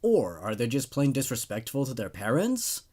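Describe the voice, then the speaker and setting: Man playing a slick, animated, sinister pitchman. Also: normal speed, breathy edge. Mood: salesman enthusiasm. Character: slick, menacing company pitchman selling child-scaring services. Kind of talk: infomercial-style horror story narration.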